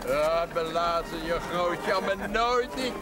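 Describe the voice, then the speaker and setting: An actor playing a salty, irreverent working-class neighbour in a television drama, talking in a coarse, scoffing, colloquial way. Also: male voice, fairly high pitch, slow pace, loud music behind the voice. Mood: scoffing banter.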